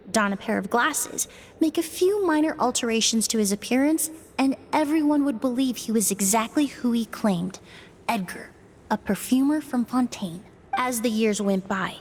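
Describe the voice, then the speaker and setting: A measured young investigator. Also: female voice, a little high, darkish tone, a little hiss or hum. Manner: measured, explanatory, deductive. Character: sharp analytical young woman investigator. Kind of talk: voiced game dialogue.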